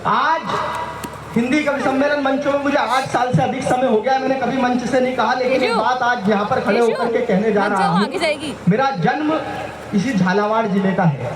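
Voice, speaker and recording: A man's voice: very high voice, normal speed. A man from Madhya Pradesh performing comic poetry on stage, telling jokes with playful punchlines. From Madhya Pradesh, India. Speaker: stage poet. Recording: echoey live PA sound from far off, crowd noise.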